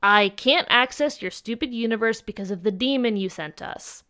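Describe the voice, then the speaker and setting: A woman's voice: a little high, normal speed, sharp tone. A woman playing a smug, teasing alien troll prankster in an audio drama, mocking and condescending. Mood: defensive, smug.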